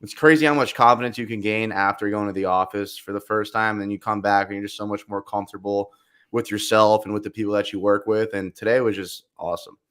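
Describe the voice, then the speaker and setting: A young man with a loud voice, talking casually as a podcast guest, animated and quick in bursts. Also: fairly low pitch. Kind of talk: casual chat.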